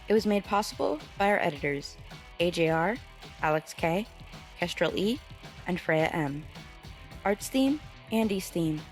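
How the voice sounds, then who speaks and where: Female podcast announcer, lowish pitch, scripted closing credits, soft guitar music underneath. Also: low-quality recording.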